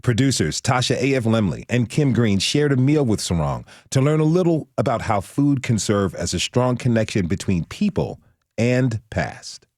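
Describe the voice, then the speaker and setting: A man's voice: medium pitch, expressive, moderate pace, a little mellow, no echo. A man hosting a radio show, reading introductions and interviewing guests. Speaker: radio host.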